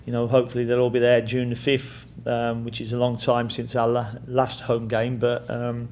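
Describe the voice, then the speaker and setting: A man's voice: medium pitch, monotone, moderate pace, darkish tone, slight background noise. A middle-aged man with an English accent, a head coach answering press questions in a plain-spoken way, often repeating words and saying "you know" as he thinks.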